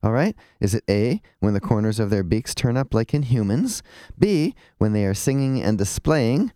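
A man's voice: mid-range voice, animated, normal speed, warm tone, no room sound, clean studio sound. A man hosting a radio talk show, upbeat, asking short follow-up questions.

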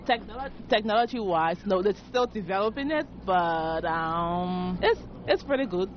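A woman's voice: medium pitch, animated, normal speed. A woman in her thirties with a Liberian accent, giving casual, slightly hesitant interview answers. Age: 30s. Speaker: interviewed mother. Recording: dull on-site recording, faint noise.

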